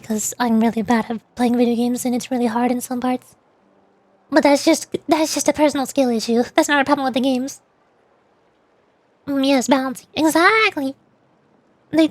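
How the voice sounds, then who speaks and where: A woman's voice: sits high, no echo. A woman livestreamer chatting casually and playfully about games in a rambling, informal way. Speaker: VTuber streamer.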